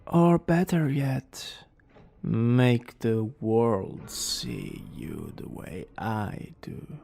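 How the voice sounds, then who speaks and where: Casting: male actor as smug young student villain for romantic ASMR; creaky, whispery husk, teasing and unhurried. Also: mid-range voice, dark tone, a little hiss or hum. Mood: coaxing, menacing. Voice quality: breathy, husky, often dropping to a whisper.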